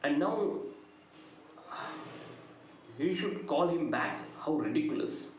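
A middle-aged man with a South Indian accent giving a lecture, retelling a story deliberately with pauses between phrases. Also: on the high side, animated. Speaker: English lecturer. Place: small bright indoor room. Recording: very echoey room, faint background noise.

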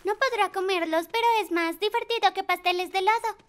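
A woman's voice: very high-pitched, fairly quick, a bit bright. A woman voicing a cheerful, bossy little girl, a playful big sister in a children's cartoon dub, bubbly and coaxing. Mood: excited, playful.

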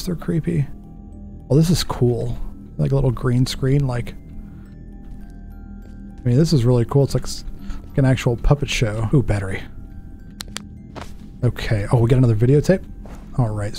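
A man's voice: medium pitch, creaky, dark tone. A man in his thirties giving casual let's play commentary, thinking aloud in a jittery, self-deprecating way. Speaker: gaming video host.

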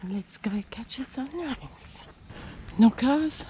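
A woman's voice: high voice, expressive, takes it slowly. A woman in her forties with an Australian accent, chatting casually to a handheld camera outdoors and gushing over the scenery. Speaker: travel vlogger. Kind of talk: casual vlog narration. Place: outdoors on a beach and in a car. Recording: outdoor noise behind the voice.

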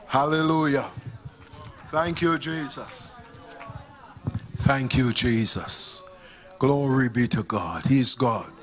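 A middle-aged man with a Vincentian accent preaching a sermon in a church, speaking into a handheld microphone, swinging from hushed appeals to loud exclamations. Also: mid-range voice, slow, long pauses. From Saint Vincent and the Grenadines. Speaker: pastor. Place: church sanctuary stage.

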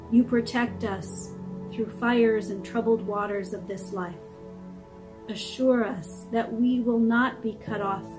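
A middle-aged woman reading liturgical prayers in a slow, measured, reverent way, recorded at home on a webcam. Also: medium pitch, rough recording. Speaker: prayer leader. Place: home room with brick wall.

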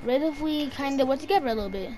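A woman's voice: sits high, fast talker, warm tone, natural voice. A woman playing a pleading young woman who coaxes urgently and hesitantly in an amateur game roleplay film. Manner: hesitant, urgent, repetitive coaxing. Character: pleading young woman coaxing and luring a vampire.

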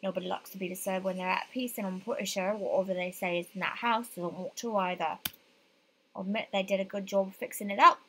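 A woman's voice: medium pitch, a bit bright, slight background noise, lightly acted, poor audio. A woman voicing a wary, superstitious male taxi driver in a visual novel.

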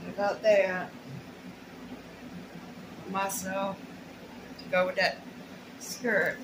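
A woman's voice: mid-range voice, little pitch movement, extremely slow. Middle-aged woman vlogging in an echoey room. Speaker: shopping haul vlogger. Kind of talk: casual unboxing chat to camera. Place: small plain indoor room at home. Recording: roomy echo, noisy home recording.

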